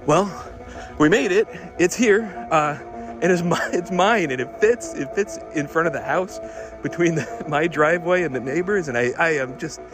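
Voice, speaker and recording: A man acts out an obsessive car fanatic in a fairly high male voice, with music playing underneath. He rambles through an audio diary, stammering and restarting with breathless eagerness. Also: normal speed, natural voice. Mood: giddy, awed. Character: excitable, obsessive man smitten with his old car.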